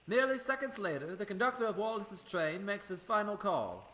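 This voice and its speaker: A man's voice: sits high, big pitch swings, brisk, bright, forceful tone, full character voice. A man playing an urgent narrator of an old-time radio serial. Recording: echoey, faint noise.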